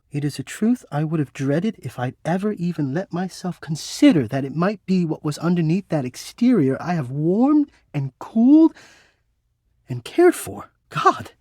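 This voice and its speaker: A man's voice: high voice, a little mellow, dry recording, studio quality. An actor voicing a sentient home ventilation system in a video game, an eloquent, dramatic male romantic with florid, formal delivery. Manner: florid, theatrical, formal wording.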